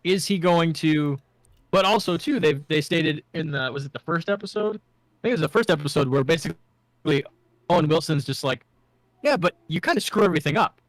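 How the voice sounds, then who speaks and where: A man's voice: fairly high pitch, animated, brisk, dry recording. A man co-hosting a casual pop culture discussion, agreeable and explaining his points with examples. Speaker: podcast co-host.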